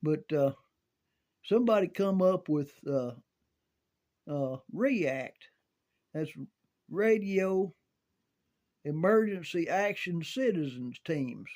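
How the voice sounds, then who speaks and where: An older American man reminiscing casually in a halting, folksy way, often repeating words as he goes. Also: fairly high pitch, expressive, darkish tone. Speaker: storyteller sharing recollections of CB radio and volunteer rescue.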